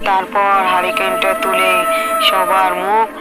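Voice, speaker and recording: A woman's voice: mid-range voice, monotone, measured pace, poor audio. A woman narrating a scripted horror story for a cartoon. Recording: loud background music.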